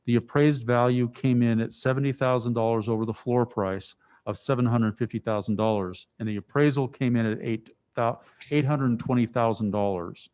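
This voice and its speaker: A man's voice: mid-range voice, darkish tone. A man who is a village official, explaining a property purchase to the council in a plain, explanatory way. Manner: plain, explanatory, with small restarts. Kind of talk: informal briefing at a meeting. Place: council chamber.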